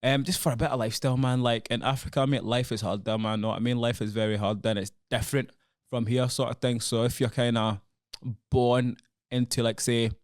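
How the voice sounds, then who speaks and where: A man's voice: medium pitch, flat delivery, a little fast, dry recording, studio quality. A young man with a Glaswegian accent, a podcast guest talking in a frank, laid-back, casual way. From Scotland, born in Congo. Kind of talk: casual chat. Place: podcast studio.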